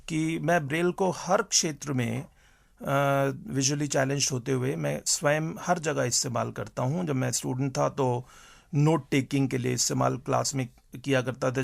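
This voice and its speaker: A man with a creaky, gravelly voice answers interview questions in a measured, explanatory way. He pauses to find his words, and the sound is close and dry. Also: medium pitch, no room sound. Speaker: teacher and teacher trainer, interview guest.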